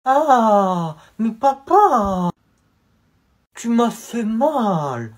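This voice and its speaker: A man's voice: sits high, very animated, heavily acted. A young man playing a whiny, spoiled rich teenage boy in a comedy sketch. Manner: whiny, drawn-out.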